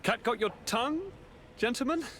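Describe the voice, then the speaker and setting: An actor playing a theatrical, pompous and enthusiastic young noble governor in a video game, with flowery speech. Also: male voice, extremely high pitch, animated, moderate pace, fairly bright tone, slight background noise, exaggerated voice. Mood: bemused, haughty.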